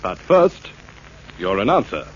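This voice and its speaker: A man's voice: deep voice, big pitch swings, slow pace, slight background noise, heavily acted. A man narrating a 1940s radio drama as its announcer, in a dramatic scripted style. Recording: muffled vintage radio broadcast.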